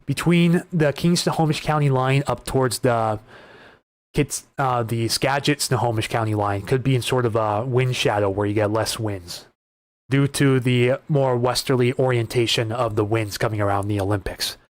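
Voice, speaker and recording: A man hosting a live weather stream, talking through wind data and viewer questions in a casual, conversational way, often restarting his sentences, his voice starting to go hoarse. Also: medium pitch, normal speed, dry recording. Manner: conversational, repeats words and restarts while reading data. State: voice getting hoarse. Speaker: weather livestream host.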